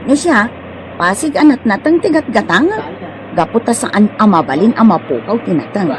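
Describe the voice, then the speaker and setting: A woman's voice: medium pitch, expressive, moderate pace, slight background noise, natural voice, low-quality recording. An Ilocano-accented woman playing a whiny, jealous mother of many in a comedy radio drama, complaining dramatically. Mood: persuading.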